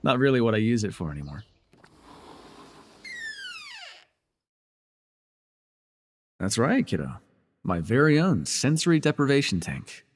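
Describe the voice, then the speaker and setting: A man's voice: mid-range voice, sing-song, brisk, no echo, studio quality. A man playing a smug, teasing telepath supervillain who mentors a hero, in a wry, theatrical yet conversational ASMR roleplay. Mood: wry, bossy.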